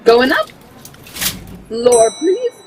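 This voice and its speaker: A woman's voice: on the high side, very animated, extremely slow, a little mellow, slight background noise, exaggerated voice. A woman playing an eager, hesitant new elevator operator in an old-time radio drama, deferential and fumbling.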